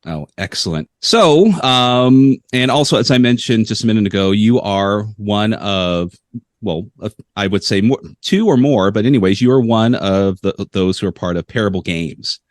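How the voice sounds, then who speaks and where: A man's voice: on the low side, lively intonation, moderate pace, no echo, studio quality. A man co-hosting a tabletop gaming podcast, rambling and hesitant with frequent fillers, joking as he talks. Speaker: podcast co-host. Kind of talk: casual podcast interview.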